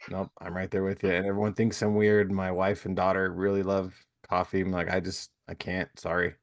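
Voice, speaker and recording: Male podcast host, lowish voice, dry close sound, easygoing and supportive. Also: monotone, a little fast, no echo. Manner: friendly, encouraging, laughs easily. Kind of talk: casual interview chat.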